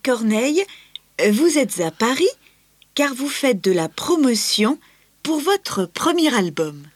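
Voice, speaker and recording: A woman playing a breathy, polite interviewer. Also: high voice, very animated, takes it slowly, no echo, exaggerated voice. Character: female interviewer, polite and curious. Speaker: interviewer in a scripted listening-test dialogue. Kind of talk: scripted dialogue reading.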